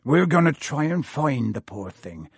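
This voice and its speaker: A man voicing a big gruff gamekeeper who talks bluntly with country words. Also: a little high, big pitch swings, measured pace, no echo, studio quality, exaggerated voice. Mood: instructing.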